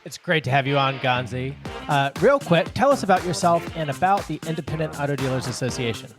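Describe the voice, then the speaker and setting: A man co-hosting a political podcast, talking in a measured conversational way. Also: on the high side, expressive, over music. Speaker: podcast co-host. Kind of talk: political talk show.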